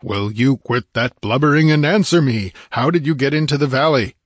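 A man voicing a massive barbarian warrior who speaks with rumbling scorn and blunt demands. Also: animated, unhurried, no room sound, clean studio sound. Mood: scornful. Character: massive gruff barbarian warrior, angry and contemptuous.

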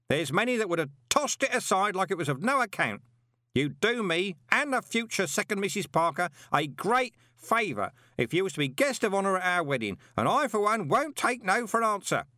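A man voicing a loud, hearty elderly shopkeeper who bellows everything with no sense of volume. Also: sing-song, brisk, no room sound, clean studio sound, exaggerated voice. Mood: bellowing. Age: older. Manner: bellowing, booming, no volume control.

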